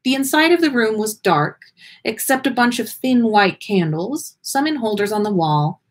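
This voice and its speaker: Female podcast host, expressive story reader with playful, casual asides and lively pitch swings. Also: normal speed. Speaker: podcast host reading listener stories on a livestream. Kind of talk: animated story reading with casual chat.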